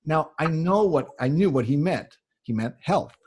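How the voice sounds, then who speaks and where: A Canadian man coaching on public speaking, explaining things in an emphatic, conversational way with a slightly husky voice. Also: fairly high pitch, animated, moderate pace, darkish tone. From Canada (Ontario). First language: English. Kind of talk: conversational advice answering audience questions.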